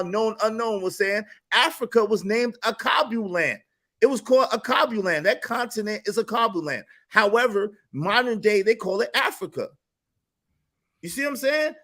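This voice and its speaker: High-voiced man arguing on a panel. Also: expressive, fairly bright tone. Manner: measured, argumentative, stammers restarts. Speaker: panel host. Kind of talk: heated panel debate.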